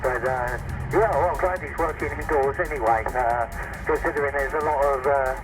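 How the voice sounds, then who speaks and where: A man's voice: medium pitch, moderate pace, poor audio. A man, chatting hesitantly and explaining things over a handheld CB radio. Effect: heard over handheld CB radio. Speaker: CB radio operator. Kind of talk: casual radio chat. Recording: handheld radio transmission with music behind.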